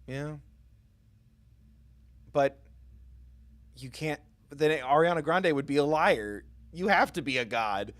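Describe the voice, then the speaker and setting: A man's voice: a little high, slow pace, long pauses, a bit bright. A man doing casual, jokey and self-deprecating live commentary while playing a game. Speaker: livestreamer playing and reading aloud a visual novel.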